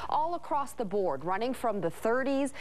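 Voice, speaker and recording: A woman's voice: fairly high pitch, big pitch swings, moderate pace, darkish tone. An American woman working as a TV news anchor, delivering scripted morning news, weather and sports with brief ad-libs.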